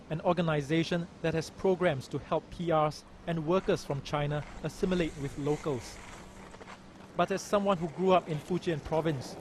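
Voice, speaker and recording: A man reading a documentary news report in a measured, even voice. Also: on the high side, a little hiss or hum. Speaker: news narrator. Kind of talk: scripted documentary narration. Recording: voice-over with music behind.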